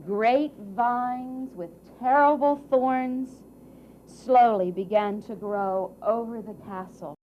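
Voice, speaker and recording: A woman narrating a children's fairy tale at a live puppet show, with dramatic, drawn-out phrasing that swells and hushes for emphasis. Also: on the high side. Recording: dull, noisy live event recording.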